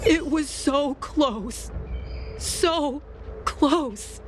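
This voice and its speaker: A woman playing a traumatised, fearful soldier in a fantasy game, halting and repeating herself. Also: high-pitched, noisy background. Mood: terrified, dazed. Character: shaken, traumatised young female soldier, dazed and fearful. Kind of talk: scripted game dialogue.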